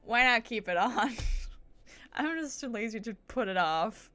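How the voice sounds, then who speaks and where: A woman's voice: on the high side, lively intonation, unhurried, bright, forceful tone, faint music behind. A woman streamer chatting casually and chattily to her viewers on a livestream.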